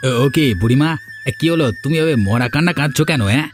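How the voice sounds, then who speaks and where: A man's voice: a little high, quick pace, faint music behind, natural voice. A man voicing a matter-of-fact village man in a cartoon fairy tale, blunt and chiding as he advises. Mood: blunt, advising.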